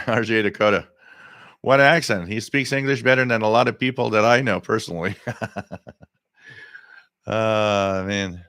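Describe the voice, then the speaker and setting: A man's voice: lowish voice, unhurried, pauses often, a bit bright, smooth, no room sound. A French Canadian man whose first language is French, hosting a live radio stream and giving opinions in a rambling, opinionated way with many restarts. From Quebec, Canada. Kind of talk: live stream chat reading viewer comments.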